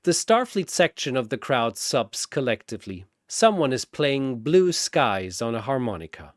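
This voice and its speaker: A man's voice: mid-range voice, sing-song, moderate pace, dry recording, clean studio sound. A man reading a scripted battle story as a dramatic narrator.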